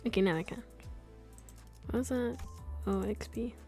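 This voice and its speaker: A woman's voice: fairly high pitch, normal speed, dark tone, soft background music. A young woman streaming a game, chatting casually to herself in rambling thoughts that trail off mid-sentence. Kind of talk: casual live-stream chat.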